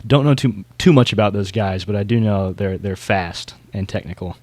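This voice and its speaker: Low-voiced man chatting on a podcast. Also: normal speed, darkish tone, dry recording. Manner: informal, knowledgeable commentary on competitors. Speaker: podcast host. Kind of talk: casual podcast chat.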